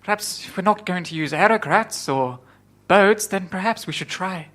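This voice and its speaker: A man's voice: high-pitched, lively intonation, moderate pace, a bit bright. A man playing a polite, dithering sidekick in an improvised comic radio play. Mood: polite, hesitant.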